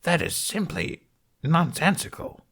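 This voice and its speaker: A man performs a proud wild dragoness from a fantasy audiobook. The voice is pitched up and husky, with a gravelly edge. The speech is formal, blunt and imperious, as befits a territorial creature. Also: sing-song, unhurried, a bit bright, exaggerated voice. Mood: disdainful.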